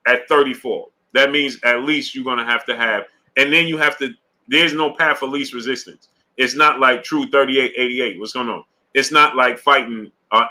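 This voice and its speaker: A man's voice: medium pitch, pushed and bright. A man hosting a live-stream boxing show, greeting viewers in the chat and talking through his views with many fillers and restarts, often checking in with "right?". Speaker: sports show host.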